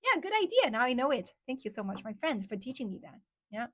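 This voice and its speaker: A woman's voice: on the high side, big pitch swings, fast talker. A young woman from China with a Chinese accent, chatting casually on a livestream and answering viewers' questions, with lots of "like" fillers. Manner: chatty, many "like" fillers, reads out comments. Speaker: live streamer.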